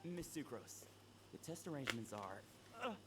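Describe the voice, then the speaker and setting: Actor as a shy young medic, stammering, lively pitch, noisy game audio. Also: male voice, normal speed, lightly acted. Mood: hesitant. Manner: hesitant, stammering. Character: timid young male trainee medic. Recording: streamed game audio with noise.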